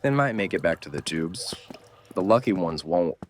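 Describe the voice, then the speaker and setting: Man playing a deep-voiced, weary survivor. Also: very animated, normal speed, a little hiss or hum. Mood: subdued, grim. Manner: blunt, scolding, urgent. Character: gruff, world-weary male survivor, stern mentor to a kid. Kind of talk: horror audio drama acting.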